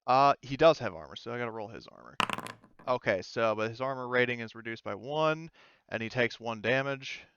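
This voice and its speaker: A man running a tabletop roleplaying game as game master, talking casually and haltingly as he works out the rules aloud. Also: mid-range voice, a bit bright, smooth, dull audio. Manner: halting, thinking aloud while working out rules.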